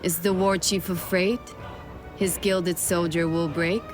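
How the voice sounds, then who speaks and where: An actress playing a towering night elf warrior sentry in a radio play, stern and haughty. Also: female voice, fairly low pitch, little pitch movement, unhurried, music in the background, natural voice. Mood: taunting.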